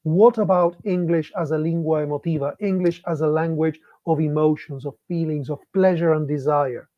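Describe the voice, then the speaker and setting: High-voiced, reflective man. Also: fairly even intonation, moderate pace, no room sound, dull audio. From Argentina. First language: Spanish. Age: middle-aged. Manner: reflective, asks many rhetorical questions. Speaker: speaker for English teachers. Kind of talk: online conference talk.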